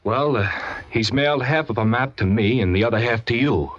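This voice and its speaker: A man's voice: medium pitch, fairly quick, no room sound, natural voice. An actor playing a shady, businesslike man in 1940s radio drama, plain-spoken and slangy. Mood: urging. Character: shady, businesslike man pitching a money deal. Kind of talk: 1940s old-time radio acting. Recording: dry, muffled old radio recording.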